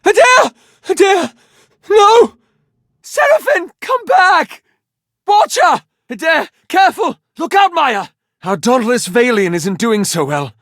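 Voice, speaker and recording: An actor voicing a refined, cautious but fiery adventurer in a fantasy video game, with formal, old-fashioned wording. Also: male voice, very high-pitched, sing-song, slow, fairly bright tone, dry recording, studio quality, full character voice. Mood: desperate, shouting. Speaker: party companion character in a role-playing game.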